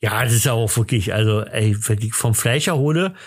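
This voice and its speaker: A man's voice: on the low side, no room sound, clean studio sound. A man, perhaps middle-aged, hosting a casual podcast chat in a hesitant, rambling, tongue-in-cheek way. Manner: hesitant, rambling, halting delivery. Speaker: podcast host.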